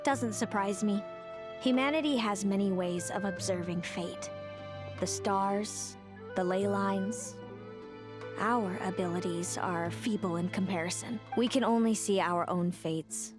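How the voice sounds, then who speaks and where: A woman's voice: mid-range voice, a little slow, music in the background, close to an everyday voice. A woman playing a guarded, world-weary fae seer in a video game, cool, dry and ominous. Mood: grave, weary.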